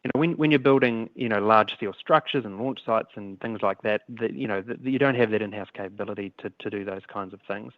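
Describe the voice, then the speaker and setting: A male executive fields questions on an earnings call in a fairly low voice. He speaks haltingly, often doubling back on his words. The sound is echoey and slightly muffled, as on a conference line. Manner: halting, repeats and restarts words. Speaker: company executive answering analysts. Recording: echoey conference call audio, band-limited.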